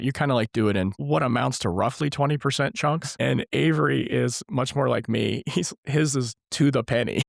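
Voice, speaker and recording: A man in his forties hosting a casual family podcast interview, his voice hoarse and scratchy from a cold, easygoing and chuckling often. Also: mid-range voice, no room sound, studio quality. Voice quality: scratchy, gravelly. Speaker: podcast host and father of the guests.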